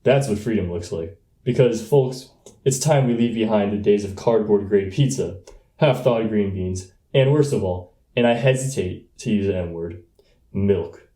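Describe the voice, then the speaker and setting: A man's voice: on the low side, unhurried, dark tone, echoey room, close to an everyday voice. A young American man playing a brash boy running for student president, giving pompous political speeches in a comedy radio play. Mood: pompous, folksy. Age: young adult.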